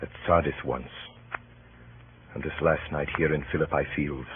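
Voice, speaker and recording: A deep, solemn Roman general. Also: male voice, fairly even intonation. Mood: resigned. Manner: solemn, measured, declamatory verse delivery. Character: noble, weary defeated Roman general resolved to die. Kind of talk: 1930s radio Shakespeare acting. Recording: scratchy, muffled 1930s radio broadcast.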